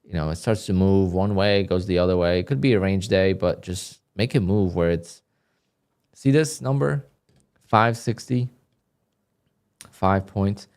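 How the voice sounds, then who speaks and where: Low-voiced man streaming his trading. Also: a little slow, pauses often, clean tone. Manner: flat, dry, sounds annoyed even when content. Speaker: day trader livestreamer. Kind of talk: live stream commentary, reading donations.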